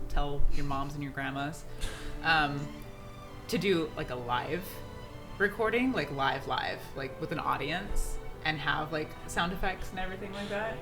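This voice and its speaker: A woman talks informally as a podcast co-host in a casual group chat. Her voice sits at a middling to low pitch, with music playing behind it.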